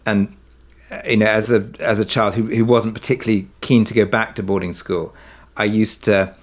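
A man speaking as an interview guest, telling stories in a reflective, halting, thoughtful way. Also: fairly low pitch, little pitch movement. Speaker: interview guest, audio drama writer-director. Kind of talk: reflective conversational storytelling.

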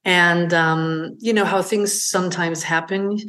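A middle-aged woman from Denmark, speaking as an interview guest in a reflective, gentle way, often pausing to find her words. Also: fairly low pitch, fairly even intonation. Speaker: guest, beauty brand founder. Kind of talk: interview conversation.

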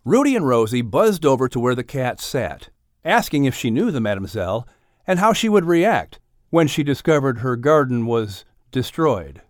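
A man's voice: medium pitch, very animated, moderate pace, no echo, clean studio sound. A man reading a scripted children's animal story as an audiobook narrator.

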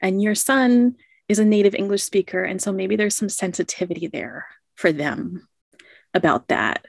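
A woman's voice: medium pitch, expressive, moderate pace, creaky, dry recording, studio quality. A woman telling a personal story in a casual conversation, thoughtful and often laughing. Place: lives in Japan.

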